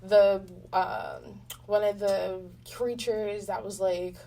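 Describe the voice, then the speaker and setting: A woman speaking candidly in casual chat as an interview guest, telling things in an animated way with slang and swearing. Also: medium pitch, takes it slowly, vocal fry, distant mic, a little hiss or hum. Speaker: interview guest, voice actor in a radio play.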